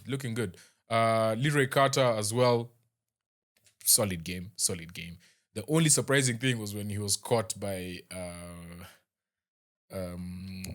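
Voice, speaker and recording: A young man with a Kenyan accent, casually reviewing a rugby match as host, chatty and halting with lots of fillers and restarts. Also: on the low side.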